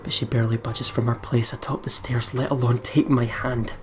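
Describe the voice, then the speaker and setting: A man reading visual novel narration aloud as a let's player, dramatically, dropping to a hush at phrase ends. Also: medium pitch, level tone, a little fast, music in the background.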